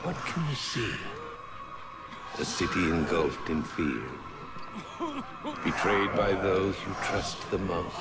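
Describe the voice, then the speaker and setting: Very deep, gravelly, sinister villain. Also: male voice, animated, heavy background noise, heavily acted. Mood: menacing. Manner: slow, ominous, deliberate monologuing. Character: menacing, coldly sinister male fear-gas villain. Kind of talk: video game villain acting. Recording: loud music over the voice.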